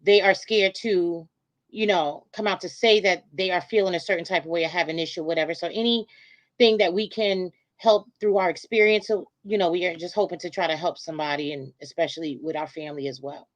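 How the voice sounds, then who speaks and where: A woman guest on a podcast, talking casually with fillers and quick affirmations. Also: fairly low pitch. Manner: relaxed, affirming, filler-heavy. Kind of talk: casual chat.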